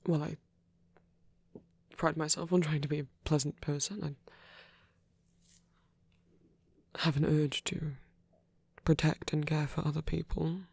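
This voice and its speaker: A performer playing a gentle, protective male vampire priest in an intimate ASMR roleplay, speaking softly and haltingly, often in a whisper. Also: mid-range voice, dry recording. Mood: tender, hesitant. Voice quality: soft, breathy, often whispering. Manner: hesitant, tender, halting pauses.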